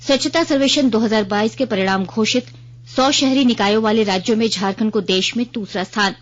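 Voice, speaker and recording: A woman's voice: a little high, brisk. Woman reading radio news, dull lo-fi sound. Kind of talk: formal scripted Hindi news bulletin reading.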